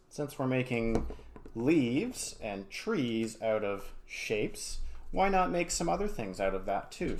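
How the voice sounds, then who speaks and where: A man teaching a casual craft demonstration in an encouraging, easygoing way, murmuring little asides as he works. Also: lowish voice. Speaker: art teacher. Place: home studio. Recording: clear background room noise.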